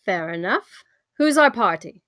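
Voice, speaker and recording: A woman's voice: mid-range voice, animated, unhurried, a bit bright, dry recording, clean studio sound. A woman reading the part of a sly, teasing female thief and smuggler in a screenplay table read. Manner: sardonic, teasing.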